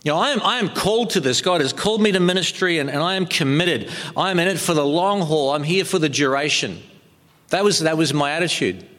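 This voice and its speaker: Hesitant New Zealand pastor in a hall. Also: male voice, on the high side, animated, brisk, a bit bright. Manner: hesitant, many ums and restarts. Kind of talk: sermon. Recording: long hall reverb.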